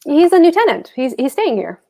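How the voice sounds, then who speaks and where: A woman's voice: high-pitched, big pitch swings, brisk, vocal fry, dark tone, heavily acted. A woman playing a brusque, stubborn husband and landlord in a comic play table read, speaking in blunt, clipped phrases. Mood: defensive, blunt.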